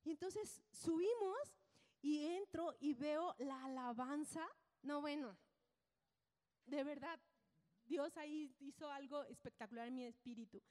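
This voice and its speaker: High-voiced woman preaching in a reverberant hall. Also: a little slow. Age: 50s. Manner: animated, colloquial, repeats phrases, acts out dialogue. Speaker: church speaker giving testimony. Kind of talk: testimony preached to a congregation, storytelling. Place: large indoor hall, speaking to an audience. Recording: church hall with long reverb.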